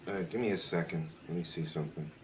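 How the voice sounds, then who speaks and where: A man's voice: low voice, fairly even intonation, quick pace, recorded from a distance, crowd noise, low-quality recording. A man bantering on a live art stream in a goofy, joking way.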